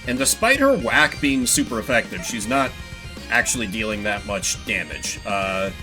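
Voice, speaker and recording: A man doing casual live gameplay commentary as a streamer, thinking out loud in an analytical way. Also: lowish voice, sing-song, moderate pace, music in the background. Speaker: game streamer.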